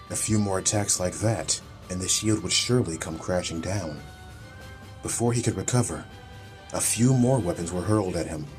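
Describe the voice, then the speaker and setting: A man's voice: sits low, darkish tone. A man reading a scripted, dramatic story as an audiobook narrator.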